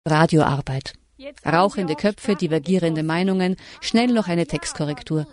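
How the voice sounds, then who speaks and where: Measured woman narrating a radio feature. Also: deep voice, darkish tone. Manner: reflective, questioning, measured delivery. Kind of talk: scripted documentary narration.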